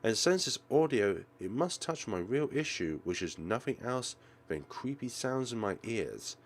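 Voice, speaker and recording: A man's voice: mid-range voice, sing-song, a little slow. A man voicing a guarded, troubled teenage schoolgirl in a visual novel, hesitant and wary but hopeful. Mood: brooding, hopeful.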